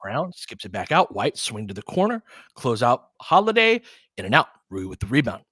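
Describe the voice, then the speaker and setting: A man's voice: mid-range voice, big pitch swings, normal speed, sharp tone, no room sound, studio quality. A man hosting a casual fan livestream, calling basketball in short clipped phrases mixed with chatty asides. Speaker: play-by-play host.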